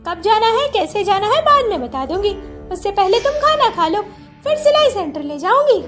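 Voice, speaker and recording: A woman voicing a kind, wealthy middle-aged businesswoman in a cartoon story, speaking gently and encouragingly. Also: very high-pitched, sing-song, normal speed, loud music behind the voice, voice pushed up. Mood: kindly.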